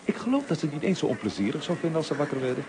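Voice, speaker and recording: Bantering young husband, swooping intonation. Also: male voice, on the high side, very animated, racing. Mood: amused. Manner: polite, bantering. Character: good-humoured, teasing young husband and father. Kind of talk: Dutch radio play acting. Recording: noisy old radio play recording.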